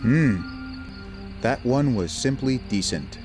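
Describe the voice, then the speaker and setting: A man playing a smug, scheming talking train engine in an audio drama, with an ominous, deliberate delivery. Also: medium pitch, lively intonation. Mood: grumbling, dismissive. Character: scheming, smug male engine, spinning ghost tales. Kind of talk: audio drama character acting. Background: music, cricket and insect night sounds.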